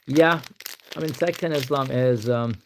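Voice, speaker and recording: A man's voice: mid-range voice, unhurried, noisy background. A young man from Pakistan with a Pakistani accent, chatting casually on a live stream, rambling and reading answers aloud. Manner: rambling, reads answers aloud, says "to be honest" a lot.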